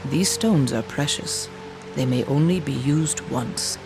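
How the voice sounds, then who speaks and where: A man playing an aloof, bargaining quest giver in a video game, measured and formal with a gravelly edge. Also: fairly high pitch. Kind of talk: video game cutscene acting. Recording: music behind the voice.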